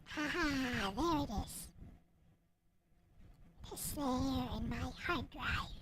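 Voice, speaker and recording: A woman with a small, childlike voice chatting casually and haltingly on a livestream about her own writing. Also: sits high, animated, background noise. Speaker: streamer and visual novel writer. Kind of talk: casual livestream chat showing own work. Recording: loud music behind the voice. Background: loud music and game sound effects.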